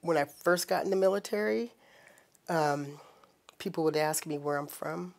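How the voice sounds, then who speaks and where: Low-voiced woman from Portland. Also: moderate pace, creaky. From Portland, Oregon. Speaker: building inspector and Navy veteran. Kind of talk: recorded interview testimony.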